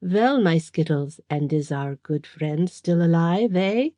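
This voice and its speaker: A woman's voice: lowish voice, animated, slow, dark tone, no room sound, clean studio sound. A woman voicing a sinister foreign-accented male villain who speaks with a constant sneer. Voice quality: sneering, insinuating.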